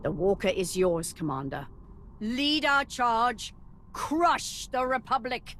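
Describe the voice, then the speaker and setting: A woman's voice: on the high side, slow pace, fairly bright tone, a little hiss or hum. A woman playing a proud, bloodthirsty Imperial officer in a video game, with a martial, self-assured delivery. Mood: rallying. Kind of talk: dramatic video game acting.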